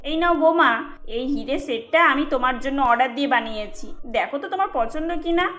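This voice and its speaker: Haughty, judgemental cartoon mother-in-law, middle-aged, acted by a woman, high-pitched and melodramatic. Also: lively intonation, brisk, fairly bright tone, dull audio, soft background music. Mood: gushing, doting. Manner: emphatic, judgemental.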